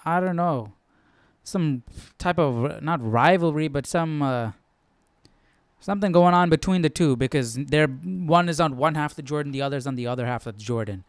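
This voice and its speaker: A man hosting a Bible study, reading the passage aloud and thinking out loud with casual, hesitant asides. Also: a little high, normal speed, no room sound.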